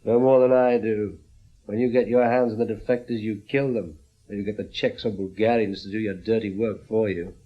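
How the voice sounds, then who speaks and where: A man's voice: lowish voice, steady tone, brisk, dull audio, natural voice. A man playing a defiant, sceptical Western intelligence officer in a radio drama, with a dry, terse, challenging delivery.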